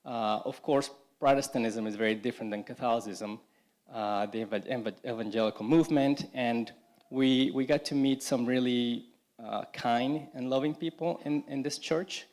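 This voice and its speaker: A man from Colombia tells his personal faith story to a church congregation. His voice sits at an ordinary middle pitch, and he speaks haltingly, often restarting phrases and filling gaps with "you know" and "um". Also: darkish tone. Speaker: congregation member giving testimony. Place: church service.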